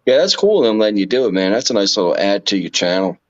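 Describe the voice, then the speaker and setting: Dull lo-fi audio, man, fairly low pitch, informal, agreeable, casual conversation. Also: big pitch swings, quick pace. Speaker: livestream co-host or guest. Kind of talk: casual chat.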